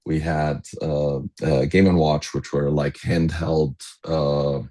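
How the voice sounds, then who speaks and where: A middle-aged man, reminiscing casually as a podcast guest in a halting, hesitant way with many pauses. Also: rumbling low, level tone, warm tone. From Egypt.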